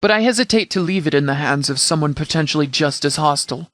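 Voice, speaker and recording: Man acting as a formal, higher-pitched military commander. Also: a bit bright, dry recording, natural voice. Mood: firm, measured. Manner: formal, measured, tactical phrasing. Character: composed, formal, pragmatic male military commander. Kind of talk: video game dialogue acting.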